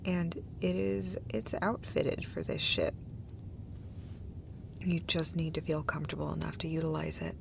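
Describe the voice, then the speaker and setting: Slow-talking, tender girlfriend played by a woman. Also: low voice, fairly even intonation, background noise, full character voice. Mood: tender, earnest. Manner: halting, breathy phrases broken by small laughs. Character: tender, emotional, self-deprecating girlfriend comforting her partner. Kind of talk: intimate romantic audio roleplay monologue.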